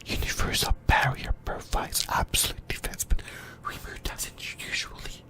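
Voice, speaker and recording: A person whispering breathily in a halting ASMR explainer about anime lore, often repeating phrases. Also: bright, forceful tone. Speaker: YouTuber, ASMR host.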